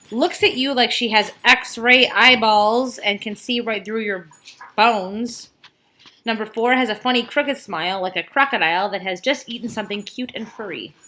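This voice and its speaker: Bright-voiced woman reading a children's book aloud. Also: a little high, a little hiss or hum, rough recording. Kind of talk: read-aloud storytelling with casual asides.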